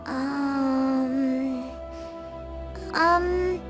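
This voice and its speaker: A woman voicing a tiny, excitable, childlike fairy companion with a squeaky voice in a video game. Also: extremely slow, soft background music. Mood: puzzled. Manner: speaks of herself in third person, bubbly. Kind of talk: animated video game acting.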